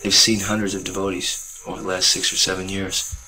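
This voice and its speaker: A young man speaking earnestly and calmly about selling books to travelers, with faint hiss from old film audio. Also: low-pitched, brisk, poor audio. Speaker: book distributor. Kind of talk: reflective commentary over footage.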